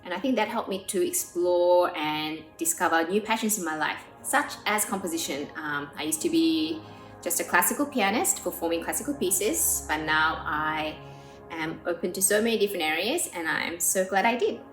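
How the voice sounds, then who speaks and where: A woman in her thirties from South Korea, a pianist speaking earnestly in a documentary interview, pausing with frequent ums. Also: fairly low pitch, animated. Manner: earnest and warm, with frequent "um" pauses. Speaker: pianist and composer. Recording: roomy sound with faint music behind.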